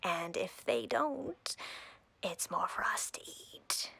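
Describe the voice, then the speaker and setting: Woman voicing a slow, breathy, predatory monster queen. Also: mid-range voice, animated, sharp tone, heavily acted. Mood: cold menace. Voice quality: breathy, whispery. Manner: haughty, slow, deliberate, menacingly playful. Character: imperious, predatory female drider queen, coldly flirtatious. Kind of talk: breathy roleplay audio acting.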